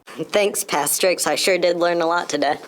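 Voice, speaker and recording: A woman's voice: lowish voice, normal speed, lightly acted. Woman as a keen, curious young puppet pal. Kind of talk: children's show dialogue, scripted.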